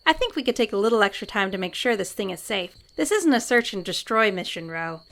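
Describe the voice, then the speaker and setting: Woman playing a bright, creaky, outspoken head. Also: mid-range voice, lively intonation, fairly quick. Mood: firm, concerned. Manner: assertive, chiding. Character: outspoken, sensible female talking head with twin. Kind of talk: scripted audio drama acting.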